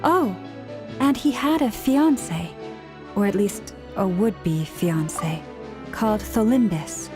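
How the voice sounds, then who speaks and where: A serious, composed fantasy game leader. She speaks in a mid-pitched voice, measured and earnest like someone recounting grave history. Music plays clearly behind her. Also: lively intonation. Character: calm, earnest female leader in a fantasy world. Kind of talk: video game voice acting.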